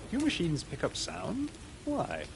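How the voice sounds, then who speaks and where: A man's voice: sits high, wildly swooping pitch, measured pace, creaky, background noise, full character voice. A man voicing a mild, curious pig detective in a video game, with a hesitant, inquisitive way of talking. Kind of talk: video game character dialogue.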